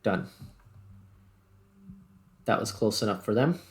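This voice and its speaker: Gravelly man casually narrating his gameplay. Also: on the low side, lively intonation, slow. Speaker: host, gaming video creator. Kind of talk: casual gameplay commentary, thinking aloud.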